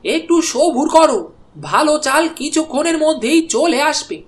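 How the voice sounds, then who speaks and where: A woman's voice: high-pitched, moderate pace, lightly acted. A woman voicing a male village shopkeeper in a chatty, grumbling cartoon style. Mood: businesslike. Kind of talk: cartoon dialogue voice acting. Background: background music.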